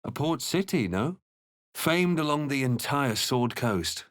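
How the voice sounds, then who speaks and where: A fantasy video game hero who is watchful and brooding. He speaks in measured, dramatic tones at an ordinary male pitch. The sound is very dry and intimate, with no room around it. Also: expressive, no room sound. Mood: wry. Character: adult male fantasy adventurer, composed, observant and brooding. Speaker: video game player-character voice. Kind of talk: dramatic first-person narration and character barks.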